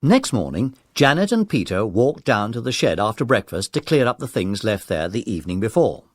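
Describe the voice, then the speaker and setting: Lively man narrating a children's story. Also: lowish voice, normal speed, no room sound, studio quality. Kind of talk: scripted children's audiobook narration.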